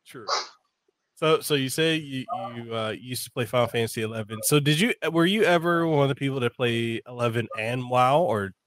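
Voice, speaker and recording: A man's voice: medium pitch, brisk, a bit bright. Rambling male podcast host from Samoa. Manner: loose and rambling, full of "like" and restarts. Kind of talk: casual interview chat.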